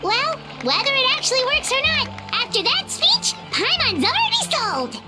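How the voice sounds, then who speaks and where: An extremely high, squeaky little fairy girl bubbles along in a swooping, gushing voice. She is cute and excitable, a video game sidekick, with loud music playing underneath. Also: normal speed. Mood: impressed. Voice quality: squeaky, childlike. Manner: speaks of self in third person, gushing. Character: tiny floating fairy companion, female, childlike, excitable, cute. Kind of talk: anime-style video game voice acting. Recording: loud music bed behind voice.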